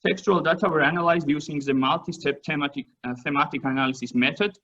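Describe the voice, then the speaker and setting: A man whose native language is Georgian gives an academic seminar talk in English, halting often with fillers and restarts. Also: on the high side, pushed and bright, dull audio. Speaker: seminar presenter. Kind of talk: academic presentation.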